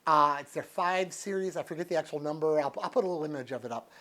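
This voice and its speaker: American guy in his 60s doing a laid-back review, folksy, kinda thinking out loud as he talks. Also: fairly high pitch, fast. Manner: folksy, easygoing, thinking aloud. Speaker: product reviewer. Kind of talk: casual unscripted review chat to camera. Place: living room with couch, indoors.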